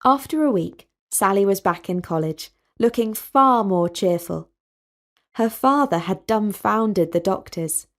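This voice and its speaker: A woman reading a scripted story as its narrator, in a measured, expressive way. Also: medium pitch.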